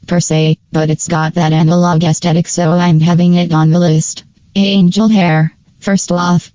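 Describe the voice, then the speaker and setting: Low, even-toned woman reviewer. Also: no echo, rough recording. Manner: informal, opinionated fan talk with slang. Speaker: video creator and narrator. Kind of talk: casual scripted tier-list review commentary.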